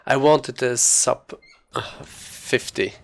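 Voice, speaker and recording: A man's voice: mid-range voice, slow, gravelly, slight background noise. A man giving casual, rambling live commentary as he speedruns a video game, thinking aloud as he plays. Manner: rambling, informal, thinking aloud while playing.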